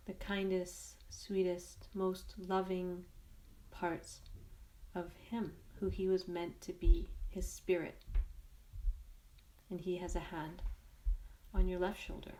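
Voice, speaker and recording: A woman leading a guided meditation in a gentle, soothing manner, speaking in short unhurried phrases with long pauses. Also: fairly low pitch, little pitch movement, poor audio.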